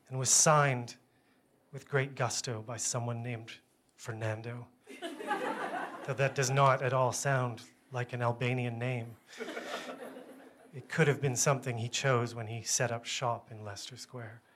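A man reads a first-person literary story aloud, with measured phrasing and a wry, self-deprecating manner. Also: medium pitch, fairly bright tone, a little hiss or hum.

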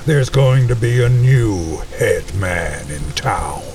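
A slow, deep-voiced brute. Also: male voice, lively intonation, a little mellow. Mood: gruff. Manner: slow, low, whispering menace. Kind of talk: radio drama acting. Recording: distant voice over music, rain.

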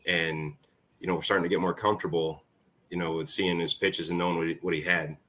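A man's voice: sits low, little pitch movement, fast, a bit bright. An American man, a former ballplayer, reminiscing casually as a guest. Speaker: former college baseball player, guest.

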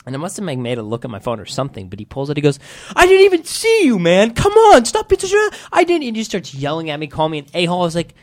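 Lively, quick man in a dry room. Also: fairly high pitch, no room sound. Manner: halting, restarts a lot when telling stories. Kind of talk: casual chat.

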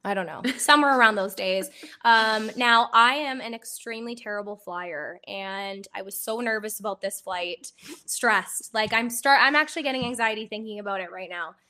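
A woman hosting a casual chat podcast, her voice hoarse and a little phlegmy from a cold, bubbly and quick to laugh. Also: medium pitch, pushed and bright. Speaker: podcast host.

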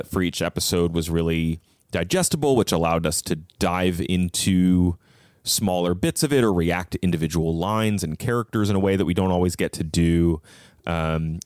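A man's voice: low-pitched, normal speed, a little mellow, clean tone, no echo, clean studio sound. A man talking casually and thoughtfully as a podcast guest, explaining his points in a conversational way. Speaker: podcast host appearing as a guest. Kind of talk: casual conversational book discussion.

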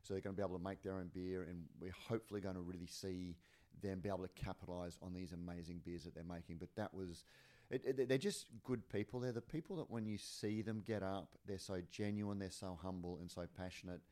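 Male podcast host, low and throaty, casual, stop-start delivery. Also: steady tone, dark tone. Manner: halting, repeats words and fills with "uh". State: hoarse. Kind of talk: casual chat.

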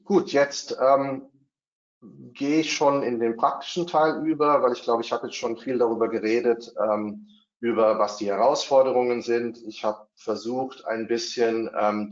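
A bilingual man giving a webinar talk, hesitant, with many fillers and restarts. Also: medium pitch. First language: bilingual, not only German. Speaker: webinar speaker on developer marketing. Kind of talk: webinar presentation. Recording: roomy, dull lo-fi webinar audio.

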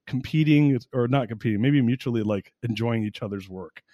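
A man in his forties hosting a podcast interview, talking in an excitable, casual way with frequent swearing and laughter. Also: medium pitch, brisk, dry recording, highs cut off. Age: 40s. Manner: excitable, profane, laughs often, animated. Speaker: podcast host.

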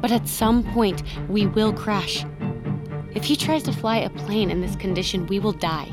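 Skeptical woman survivor, audio drama actress: mid-range voice, firm, rational, loud score underneath. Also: mid-range voice, moderate pace, over music, close to an everyday voice. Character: level-headed, skeptical woman survivor taking charge.

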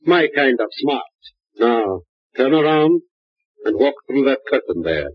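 An actor playing a suave, scheming male crook in a 1940s radio drama, smooth and menacing. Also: medium pitch, very animated, measured pace, no room sound. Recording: dull old radio recording.

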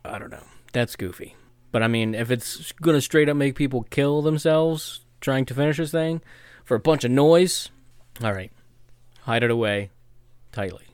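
A man's voice: medium pitch, moderate pace, smooth, no room sound. A man co-hosting a podcast in a casual chat, cracking wry jokes. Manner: joking, wry asides. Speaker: podcast co-host.

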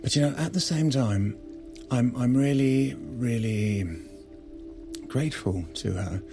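A man's voice: mid-range voice, takes it slowly, over music. A man playing a defensive estranged husband who wants change, in a naturalistic audio drama, justifying himself with some hesitation. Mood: conflicted, earnest.

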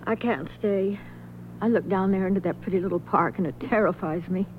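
A woman's voice: mid-range voice, brisk, natural voice. A woman playing an uneasy, hesitant widow confiding what she knows in a 1970s radio drama. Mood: uneasy, resigned. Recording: muffled, slightly noisy vintage radio recording.

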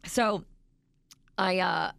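A woman co-hosting a morning radio show, with a deep, raspy voice, chatty and self-mocking. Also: extremely slow, a bit bright, no room sound. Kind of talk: casual radio banter. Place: radio studio.

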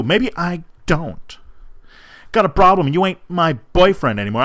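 A man's voice: on the high side, fairly bright tone, no room sound, voice pushed up. A man playing a furious, scornful jilted young person in an emphatic, declamatory dramatic reading.

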